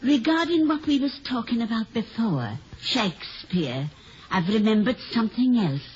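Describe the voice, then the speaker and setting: An actress playing a naive, deferential young maid, hesitant and ungrammatical, in a 1950s radio comedy. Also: female voice, on the high side, expressive, normal speed, natural voice. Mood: timid, eager. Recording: dull, hissy 1950 radio recording.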